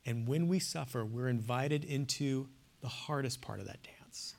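A man giving a classroom lecture in an earnest, emphatic way, swinging from hushed to loud. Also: mid-range voice, moderate pace, creaky. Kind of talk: classroom lecture on theology and poetry.

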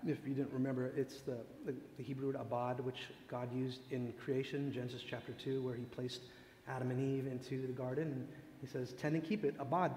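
A man whose native language is Tagalog gives informal religious teaching, thinking aloud in a reflective, halting way. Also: mid-range voice, level tone, a little mellow. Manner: reflective, halting, searching for words. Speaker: speaker leading a worship teaching session. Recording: echoey hall, long reverb, background noise.

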